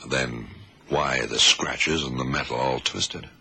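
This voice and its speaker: A methodical FBI investigator in an old radio drama. His voice is rock-bottom low and gravelly, with a hard, sharp edge. He questions deliberately, murmuring as he thinks, over a slightly noisy recording. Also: full character voice. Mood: methodical, probing. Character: shrewd, methodical male FBI investigator leading a case. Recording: 1970s radio drama, faint noise.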